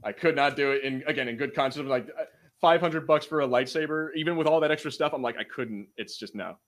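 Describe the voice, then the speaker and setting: A man co-hosting a podcast, telling stories in a casual, animated, self-deprecating way with some swearing. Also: mid-range voice, fast talker. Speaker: podcast co-host. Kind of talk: casual banter, storytelling.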